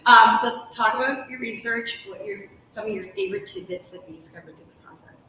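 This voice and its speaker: A woman's voice: a little high, a little fast. A woman in her thirties hosting a casual live interview, chatty, rephrasing as she goes. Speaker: interview host. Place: large hotel room, indoors. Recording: very echoey room, distant mic, faint noise.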